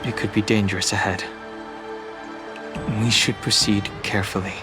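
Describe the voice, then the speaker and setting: A man's voice: lowish voice, level tone, moderate pace, natural voice. A man voicing a stoic, guarded young hero in a video game, with a terse, serious way of speaking. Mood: guarded, subdued. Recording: music behind the voice.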